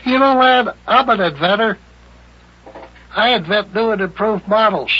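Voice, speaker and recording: An actor playing a folksy, boastful, eccentric farm inventor who rambles and repeats himself in a radio comedy serial. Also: male voice, sits high, moderate pace, husky. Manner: self-important, rambling, repetitive. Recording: dull old radio recording.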